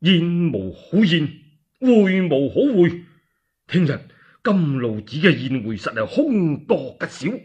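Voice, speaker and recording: A man voicing a loyal, vigilant warrior general in a Cantonese radio story, speaking gravely and with measure. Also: fairly high pitch, sing-song, slow, a little mellow, heavily acted. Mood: wary. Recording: dull lo-fi radio recording.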